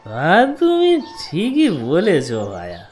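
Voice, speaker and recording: A woman's voice: low voice, huge pitch swings, slow, dark tone, soft background music, heavily acted. A woman voicing a dim, cheerful drunk bachelor in a cartoon comedy, naive and sing-song. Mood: musing.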